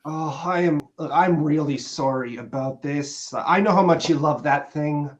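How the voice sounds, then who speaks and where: A man's voice: fairly high pitch, close to an everyday voice. A man acting a flustered, apologetic character in a dramatic script reading, speaking hesitantly. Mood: shocked, apologetic. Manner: hesitant, halting. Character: apologetic, flustered man fussing over a woman.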